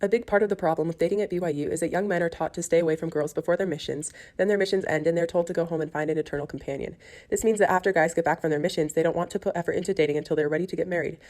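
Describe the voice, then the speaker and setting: Young female narrator, rapid scripted delivery, slightly low voice. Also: fairly even intonation, a little mellow. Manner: brisk, read from a script. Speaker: student narrator.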